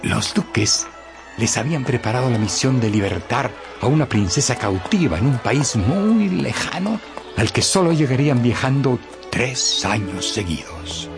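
A man's voice: mid-range voice, very animated, unhurried, creaky, over music. A man narrating a radio story in a theatrical, scripted style with suspenseful phrasing.

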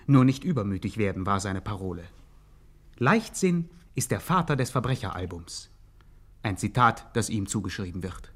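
Male narrator, slightly deep voice, mock-solemn literary delivery for satirical audio drama. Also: expressive, moderate pace. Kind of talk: scripted satirical radio play narration.

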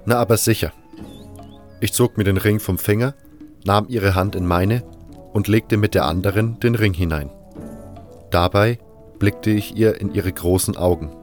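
A man reading a scripted first-person fantasy story as narrator, in a measured, literary delivery. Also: lowish voice, faint music behind. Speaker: narrator of a fan audio drama.

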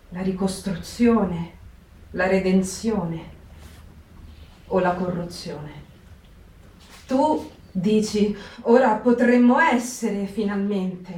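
An actress in a theatre reading on the radio, reflecting slowly and listing what peace might bring. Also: female voice, medium pitch, darkish tone, lightly acted. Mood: reflective. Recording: echoey, distant mic.

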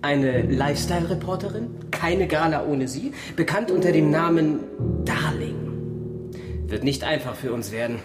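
A man's voice: fairly high pitch, expressive, normal speed, roomy sound, over loud music, close to an everyday voice. An actor playing a breezily optimistic, scheming defence lawyer in a radio drama, a man with a confident, glib manner. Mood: breezy, scheming.

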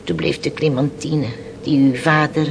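An actress playing a weathered, resigned fisherman's widow in a radio play, telling her story haltingly in hushed tones. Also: low voice, fairly even intonation, gravelly. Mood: sorrowful, hushed. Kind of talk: Dutch radio play acting. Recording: noisy old radio play recording.

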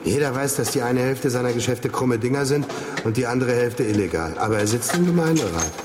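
An actor playing a grumbling, envious quantum physicist in a radio drama, griping in a sarcastic, wordy way full of rhetorical jabs. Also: male voice, mid-range voice, animated, fairly quick, close to an everyday voice. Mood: irritated, sarcastic. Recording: clearly noisy background.

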